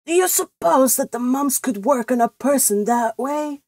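A fretful woman cousin from a storybook, acted by a female reader. She whimpers and wails her complaints in a high voice, close and dry. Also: animated, takes it slowly, no echo, heavily acted. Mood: whimpering, fretful. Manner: wailing, whimpering. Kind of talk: audiobook character voice.

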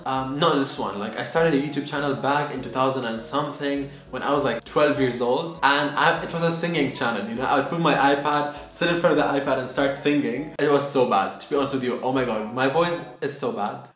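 A young man from Lebanon chats straight to the camera in a lively, upbeat way, with plenty of filler words. His voice sits a little high for a man. The bedroom sounds echoey, and faint music plays underneath. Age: 20s. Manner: lively, chatty, many "like" fillers. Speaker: vlogger. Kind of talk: casual chat to camera. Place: bedroom, indoors. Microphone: camera in front, medium close.

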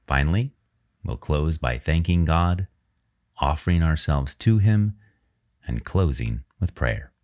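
A man narrating a guided religious meditation in a measured, reverent way with unhurried pauses. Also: rumbling low, animated, darkish tone.

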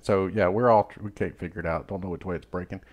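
A man's voice: low voice, fairly even intonation, quick pace, highs cut off. A man hosting a casual podcast chat in an easygoing, teasing way. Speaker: podcast host. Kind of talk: casual chat about golf.

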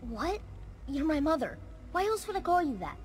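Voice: high voice, big pitch swings, moderate pace. A performer voicing a hurt, pleading young android boy in a video game, plaintive and emotional. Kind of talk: dramatic video game dialogue.

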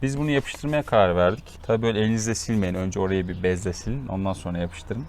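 A man's voice: fairly low pitch, fast talker, darkish tone. A man hosting a casual hands-on gear demonstration, explaining and instructing as he chats. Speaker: vlog host showing motorcycle gear. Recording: clear ambient noise behind voice.

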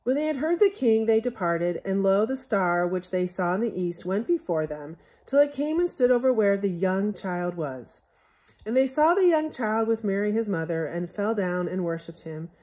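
A woman reading a Bible story aloud from a picture book in measured, scriptural phrasing, as a Sunday school storyteller. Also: mid-range voice, lively intonation, darkish tone.

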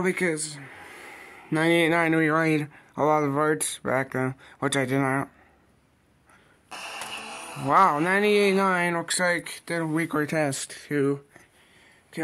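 A man giving casual, halting vlog commentary in a small room on a handheld camera, rambling through a list. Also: fairly high pitch, a bit bright, a little hiss or hum. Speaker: weather radio hobbyist vlogger. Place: small bedroom, indoors. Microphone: handheld phone or camera.

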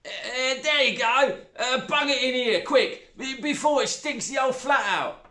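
Man putting on a shrill greedy stepmother. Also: measured pace, exaggerated voice. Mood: brash. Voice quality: shrill, coarse, talks with mouth full. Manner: loud, mock-sad, brash. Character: loud greedy crude stepmother, middle-aged woman. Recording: roomy echo.

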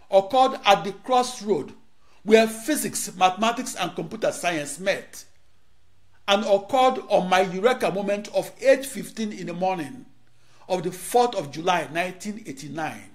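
A middle-aged man from Nigeria, a native Igbo speaker, giving a rehearsed lecture in short declaimed phrases with dramatic pauses, filmed in a studio on a lapel mic. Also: sits high.

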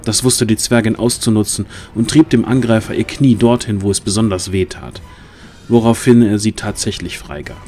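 A man's voice: lowish voice, steady tone, normal speed, a little mellow. A man reading scripted, dramatic fantasy narration as the narrator of an audio drama.

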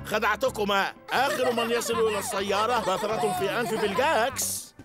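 A man's voice: sits high, moderate pace, pushed and bright, soft background music, a faint crowd. A man voicing a hearty, jocular older grandfather in a cartoon. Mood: teasing. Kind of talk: dubbed cartoon acting.

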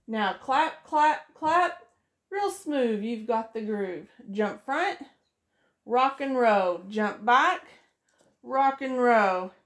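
A middle-aged woman, a preschool teacher, reading a picture book aloud to children in a sing-song way, voicing each character, recorded close up in a small room. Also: high voice.

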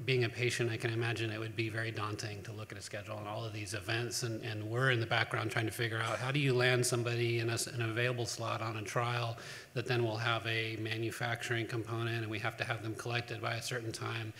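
A man talks on a panel in a big conference hall, his voice flat and level as he stops and restarts with fillers. A long hall reverb hangs behind him with a faint hum. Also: fairly low pitch. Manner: halting, many fillers and restarts. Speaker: panelist on cell therapy operations. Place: large conference hall with audience.

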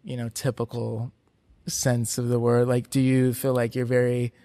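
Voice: medium pitch, completely flat delivery, normal speed, dark tone, no room sound. A podcast host interviewing an artist, asking questions and backing the guest with short agreements. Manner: supportive, frequent short backchannels. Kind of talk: casual interview conversation.

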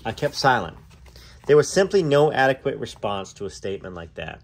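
A man reads aloud as a storytelling host, with dramatic phrasing and emphatic starts to his sentences. His pitch is lively and varied, and he slips easily into casual asides. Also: brisk, breathy edge. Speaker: host reading a memoir aloud.

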